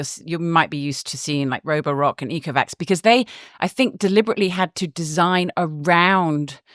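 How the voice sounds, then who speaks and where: Woman, low pitch, chatty, fillers and laughs, dry close mic. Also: a bit bright, no echo. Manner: chatty, laughs often, many fillers and restarts. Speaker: guest reporter. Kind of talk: casual podcast conversation.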